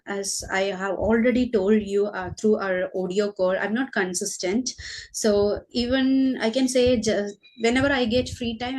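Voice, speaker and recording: Indian woman, thoughtful, pausing speech. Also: medium pitch, a little mellow, low-quality recording. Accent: Indian English. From Kerala, India. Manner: thoughtful, pauses often with fillers to gather thoughts. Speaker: school teacher. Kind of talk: casual podcast conversation.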